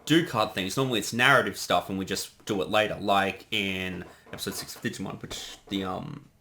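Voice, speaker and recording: A man's voice: low-pitched, fairly even intonation, fairly quick, bright, forceful tone, slight background noise. A man giving a casual creator's commentary on a production he directed, chatting conversationally.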